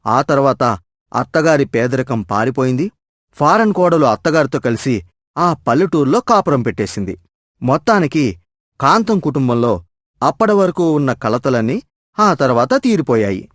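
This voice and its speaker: A man's voice: mid-range voice, sing-song, normal speed, no room sound, clean studio sound. A man narrating a scripted moral story as a storyteller.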